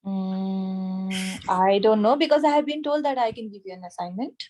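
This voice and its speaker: A woman in her thirties with an Indian accent, teaching an informal online class in a halting way, checking in as she goes. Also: medium pitch, darkish tone. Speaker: anatomy teacher at a yoga school. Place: small room with a whiteboard, indoors. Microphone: device camera a short distance away, video call.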